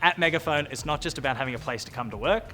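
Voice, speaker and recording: A man's voice: a little high, rapid-fire, sharp tone, faint music behind. An Australian man narrating a scripted company recruitment video as a presenter.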